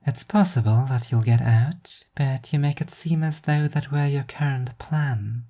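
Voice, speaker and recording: A woman voicing an eerie, doll-like teenage girl prophet who speaks in a flat, measured, pedantic way. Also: extremely low pitch, pitched above the performer's own voice, exaggerated voice. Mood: detached, probing.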